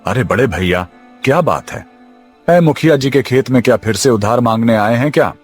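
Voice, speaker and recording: A man's voice: medium pitch, moderate pace, soft background music, lightly acted. A man voicing a greedy, arrogant thief in a cartoon story, with a brash and mocking manner.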